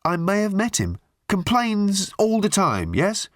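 A man's voice: sits high, huge pitch swings, a little slow, dry recording, studio quality, voice pushed up, exaggerated voice. A man voicing a tired, patient young man who answers in short, resigned replies in an audiobook. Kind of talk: audiobook character voice.

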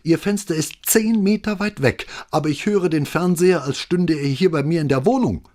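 A man voicing a furious, near-hysterical woman caller whose voice grows shrill with rage. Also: normal speed, a little mellow, close to an everyday voice.

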